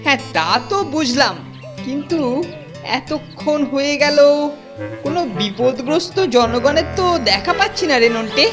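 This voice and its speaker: A woman's voice: high-pitched, expressive, normal speed, over music. A woman voicing a bossy, cowardly older boy who leads a gang in a cartoon, blustering and ordering the others about. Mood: bossy, pompous. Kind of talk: cartoon voice acting.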